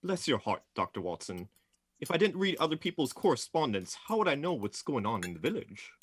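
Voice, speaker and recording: A man's voice: mid-range voice, big pitch swings, brisk, slightly rough, no room sound. A man playing a cheeky, self-important village postman in a radio play, folksy, garrulous and cheerful. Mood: cheerful, boastful.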